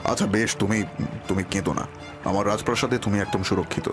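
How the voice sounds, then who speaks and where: A man voicing a kind, protective king in a cartoon, speaking in a measured, reassuring, regal manner. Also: on the low side, fairly even intonation, over music, close to an everyday voice. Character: kind protective king, worried for his subjects. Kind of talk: dramatic Bengali cartoon dubbing.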